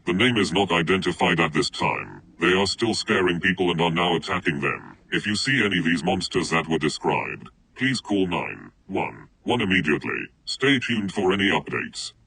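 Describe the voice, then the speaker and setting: A man's voice: deep voice, big pitch swings, normal speed, gravelly, hardly any pauses, bright, forceful tone, husky, low-quality recording. A man reading scripted emergency alerts as an announcer.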